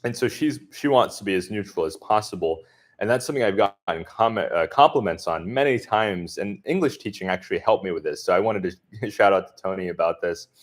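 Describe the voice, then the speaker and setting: Man, deep voice, livestream Q&A, relaxed, lots of ums, neutral accent. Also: a little fast. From southern US. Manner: relaxed, thinking aloud with many fillers. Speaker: expat vlogger answering live chat. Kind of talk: casual livestream Q&A.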